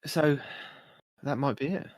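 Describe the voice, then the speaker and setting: A man's voice: a little high, measured pace, no room sound, poor audio. A man co-hosting a gaming podcast, talking through guides and tips in an informal, explanatory way. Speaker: podcast co-host. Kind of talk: casual gaming podcast chat, running through video guides.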